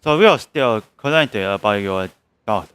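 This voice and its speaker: Clear, lively-pitched man streaming a game. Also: normal speed, no room sound. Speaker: live streamer. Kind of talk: casual reactions while streaming a game.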